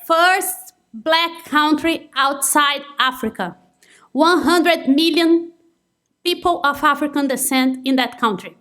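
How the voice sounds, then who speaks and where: A woman's voice: high-pitched, slow pace, pushed and bright. A young woman from Brazil with a Brazilian Portuguese accent, giving an earnest conference talk in non-native English. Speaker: conference speaker. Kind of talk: conference presentation.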